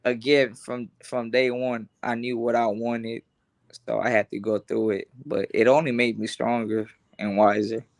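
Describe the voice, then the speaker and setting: A man's voice: mid-range voice, flat delivery, unhurried. A young man who grew up between Los Angeles and Honduras, speaking earnestly and casually in an interview, often repeating words as he starts a thought. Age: young adult. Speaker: boxer, interview guest.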